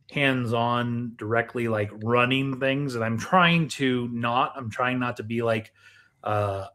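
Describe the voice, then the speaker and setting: Man, medium pitch, podcast host, conversational, deliberate, questioning, steering. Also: fairly bright tone. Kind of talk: casual conversational hosting.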